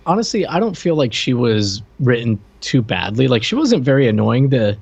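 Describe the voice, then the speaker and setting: A man co-hosting a podcast, giving opinions in informal, halting chat as he thinks aloud. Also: medium pitch, sing-song, creaky, darkish tone, husky, no room sound. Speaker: podcast co-host. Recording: dull, band-limited lo-fi voice track.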